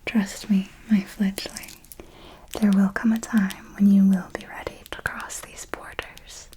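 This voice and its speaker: A woman playing a possessive, commanding vampire mentor in a whispered ASMR roleplay, speaking in a breathy, deliberate, coaxing way. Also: medium pitch, level tone, mellow, close to an everyday voice. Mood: gently commanding. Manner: slow, deliberate, coaxing and instructive.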